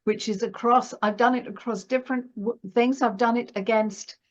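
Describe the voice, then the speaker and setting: A woman with a fairly high voice talks casually as a guest analyst. She is chatty and often tags her points with a question seeking agreement. The audio is a bit dull and lo-fi. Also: fairly even intonation, normal speed. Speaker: guest financial astrologer and market analyst. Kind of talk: casual conversational market discussion.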